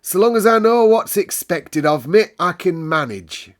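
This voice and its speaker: A man's voice: high-pitched, animated, unhurried, voice pushed up. A man voicing a curt, bossy English housekeeper woman in a storybook reading. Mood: curt, dutiful. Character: brusque, unceremonious middle-aged English housekeeper woman.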